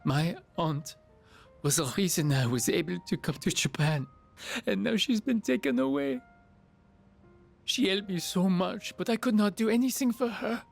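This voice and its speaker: A man's voice: sits high, moderate pace, vocal fry, faint music behind. A man voicing an earnest, sensitive foreign student in a game, with hesitant, formal, emotional delivery. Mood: sad, hesitant. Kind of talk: game voice acting.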